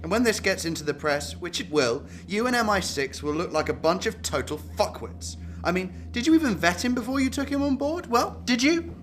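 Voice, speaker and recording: An actor playing an indignant security service officer in a radio drama, sarcastic, accusatory and given to swearing. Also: male voice, high-pitched, very animated, a little fast, hardly any pauses, a bit bright, slight background noise.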